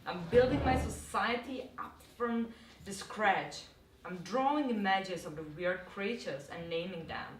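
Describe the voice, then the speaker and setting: A woman's voice: mid-range voice, lively intonation, a little slow. A woman reading a first-person literary text aloud at a live event in a bar. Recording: echoey, distant live recording with faint noise.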